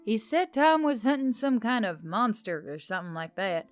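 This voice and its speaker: A woman voicing a nervous, sharp-tongued young woman who talks in a casual, emphatic, slangy way in an audiobook story, with music behind. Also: a little high, sing-song, moderate pace. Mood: uneasy, exasperated. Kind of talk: audiobook character dialogue.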